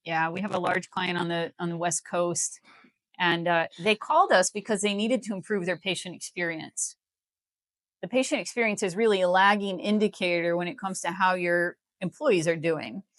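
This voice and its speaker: A woman's voice: fairly low pitch, normal speed, fairly bright tone, no echo. An American woman explaining things in a live interview as a guest, in an emphatic, persuasive manner. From Missouri, United States. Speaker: healthcare company CEO, interview guest.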